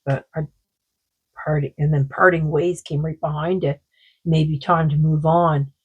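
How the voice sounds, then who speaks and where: A woman with a sore throat giving a rambling, casual oracle card reading, full of fillers and slow read-aloud passages. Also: deep voice, breathy edge, poor audio. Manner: rambling, many fillers, reads card texts aloud slowly. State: sore throat, losing her voice.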